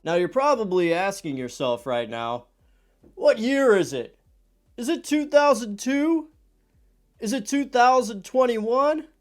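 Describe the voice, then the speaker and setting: A man's voice: very high voice, measured pace. A man in his thirties, stuffed up from a cold, chatting casually through a soda review at his desk at home. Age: 30s. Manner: chatty and animated, acts out quoted voices. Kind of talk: casual product review vlog. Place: home room with shelves of soda cans. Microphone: camera at desk distance.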